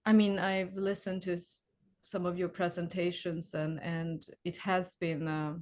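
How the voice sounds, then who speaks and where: Slow, low-voiced Hungarian woman interviewer. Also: flat delivery. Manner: unhurried, thoughtful, soft backchannels. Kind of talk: interview questions.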